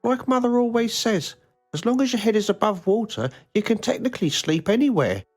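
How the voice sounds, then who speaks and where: A man playing a meek, childlike grown man with a resigned, mildly deadpan way of complaining in a mockumentary game. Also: high voice, fairly quick, soft background music.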